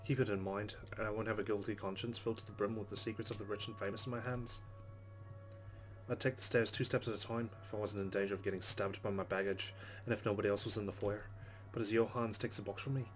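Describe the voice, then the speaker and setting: A man hosting a let's play, reading visual novel narration aloud and commenting as he goes. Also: fairly low pitch. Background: guitar game music.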